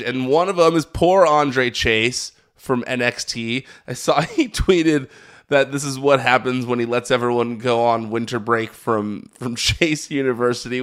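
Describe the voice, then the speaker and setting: A man hosting a wrestling podcast, talking in an energetic, hyped-up, chatty way while recovering from being sick. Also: medium pitch, clean tone. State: recovering from illness. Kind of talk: casual podcast recap.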